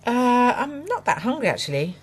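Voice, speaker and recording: An actress playing a protective, practical middle-aged daughter in a radio drama, speaking in a direct, caring way. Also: female voice, on the high side, sing-song, normal speed, a little mellow. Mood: breezy. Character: protective, practical grown daughter of farming family. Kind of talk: naturalistic radio drama acting.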